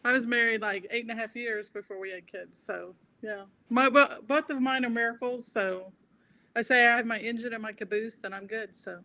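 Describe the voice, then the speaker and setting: A woman's voice: a little high, normal speed, sharp tone, poor audio. A woman from the South in her sixties, chatting and telling stories in a self-mocking way. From American South. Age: 60s. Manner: chatty storyteller, self-deprecating. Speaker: trader on a panel. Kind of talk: casual chat.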